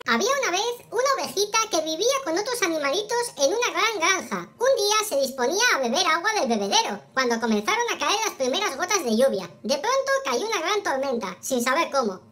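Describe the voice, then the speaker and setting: A performer voicing a cute little kitten who tells a children's story in a friendly, expressive, childlike way. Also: very high voice, fairly quick, hardly any pauses, exaggerated voice. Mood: cheerful storytelling. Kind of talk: children's storytelling, scripted narration.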